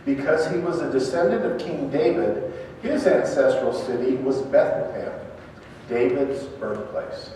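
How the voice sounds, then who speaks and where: A man's voice: mid-range voice, measured pace, dark tone. A man narrating a scripture dramatization to a congregation in a church. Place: large church interior. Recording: echoey church hall from far off, faint noise.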